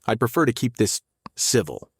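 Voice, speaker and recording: Woman doing a stern, deep-voiced male sheriff. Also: big pitch swings, normal speed, slightly rough, no echo, clean studio sound, exaggerated voice. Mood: firm. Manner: firm, clipped, officious.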